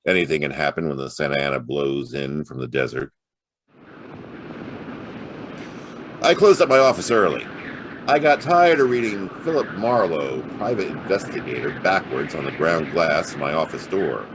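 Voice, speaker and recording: An actor playing a wry, hard-boiled private detective in a noir audio drama, narrating and talking in terse slang. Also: male voice, rumbling low, expressive, normal speed, slight background noise, low-quality recording. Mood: brooding, wry.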